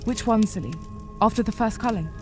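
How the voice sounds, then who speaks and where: A woman playing a protective, wary rabbit heroine in a fantasy game, soft and earnest. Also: fairly high pitch, fairly quick, faint music behind, lightly acted. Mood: tender, firm. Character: protective, wary young female rabbit, gently serious. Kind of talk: video game voice acting.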